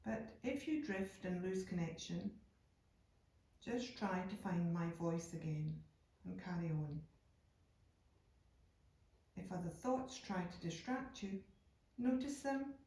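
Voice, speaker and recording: A woman guiding a yoga nidra meditation in a calm, unhurried, soothing way, leaving deliberate pauses between phrases. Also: fairly low pitch. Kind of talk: slow guided meditation narration. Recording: echoey room, distant from microphone.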